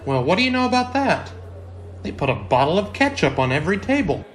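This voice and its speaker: A man's voice: high voice, sing-song, brisk, slight background noise. A man playing a polite, oblivious biology teacher in an old-time radio comedy, earnest and naive. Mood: earnest, mild.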